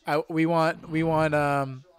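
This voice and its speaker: A man's voice: fairly high pitch, little pitch movement, slow pace, clean tone. A man hosting a casual live podcast, joking around and making mock announcements. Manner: joking, hype-announcer delivery. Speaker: podcast host.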